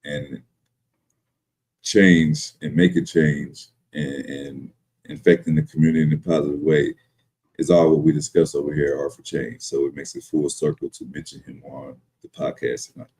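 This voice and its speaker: A man in his thirties hosts a live podcast in an extremely deep, husky voice. He speaks politely and hesitantly, searching for his words as he goes. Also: little pitch movement, normal speed, a little mellow. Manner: polite, hesitant, many fillers and restarts. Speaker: podcast host. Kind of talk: casual live podcast talk.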